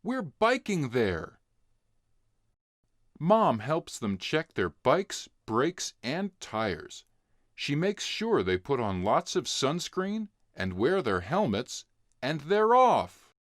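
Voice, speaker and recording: A man narrating a children's storybook in an expressive read-along style. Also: on the high side, slow pace, no echo, clean studio sound. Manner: expressive, clearly acting out dialogue. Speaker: narrator reading a children's book.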